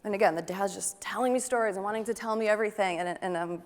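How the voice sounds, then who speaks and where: A woman's voice: medium pitch, fast. Chatty woman speaking in a large hall. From Wisconsin, United States. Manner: chatty, enthusiastic, asks the audience questions. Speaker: photographer giving a talk. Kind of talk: conference presentation to an audience. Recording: long hall reverb.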